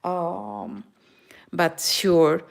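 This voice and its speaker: A Peruvian woman with a Peruvian Spanish accent, hosting a live crochet tutorial in slow, halting English, often switching into Spanish. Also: lowish voice, steady tone, creaky. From Peru. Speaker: crochet channel host. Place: home in Germany.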